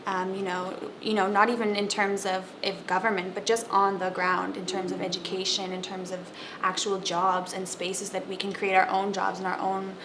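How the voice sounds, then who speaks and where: A woman in her twenties talks in a conversational way, her pitch held even and level throughout. Her voice sits at an ordinary middle height. Clear room noise hums underneath. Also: moderate pace, few pauses. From South Africa. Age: 20s. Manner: conversational, with many um and you know fillers. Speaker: social entrepreneur. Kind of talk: panel discussion. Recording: noisy ambient background.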